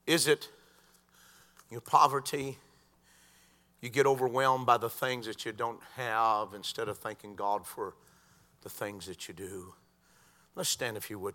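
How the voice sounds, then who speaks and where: A man preaching a sermon in a church, swinging from quiet talk to shouted crescendos. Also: medium pitch.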